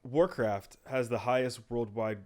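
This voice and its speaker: A man's voice: mid-range voice, unhurried, a little mellow. Man joking in casual podcast banter. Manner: joking, rambling banter, often trails off. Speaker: podcast co-host.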